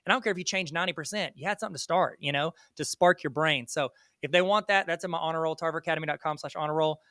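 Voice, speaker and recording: A man with a Southern US accent chats as a guest in a loose, rambling style full of self-deprecating jokes. His voice sits a bit high and sounds bright and smooth. The sound is close and dry. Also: racing, no echo. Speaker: guest educator. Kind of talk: casual interview chat.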